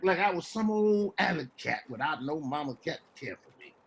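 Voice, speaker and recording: An actor playing a rambling, wheedling homeless man with American street slang and a husky, stammering delivery, in a stage play reading. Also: fairly high pitch, fairly bright tone, full character voice. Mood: boastful, wheedling. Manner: stammering, repeats words, folksy street slang.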